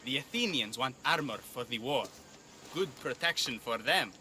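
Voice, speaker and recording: A man's voice: medium pitch, lively intonation, moderate pace, bright, forceful tone, slight background noise. A man playing a jovial, shrewd pelt trader in a video game, bantering in a familiar way. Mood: friendly, bantering. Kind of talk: video game cutscene dialogue.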